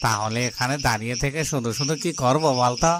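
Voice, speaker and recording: A man's voice: medium pitch, quick pace, lightly acted. A man playing a wary, self-protecting royal guard who grumbles, in a fairy-tale cartoon. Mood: grumbling, fearful. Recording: noisy, cricket and insect sounds behind.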